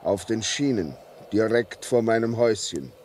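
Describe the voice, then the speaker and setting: An actor playing a haunted, subdued railway signalman in a radio play, speaking haltingly. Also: lowish voice, animated, a little mellow, natural voice. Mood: subdued, resigned. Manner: slow, halting, heavy delivery. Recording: noisy radio drama recording with ambience.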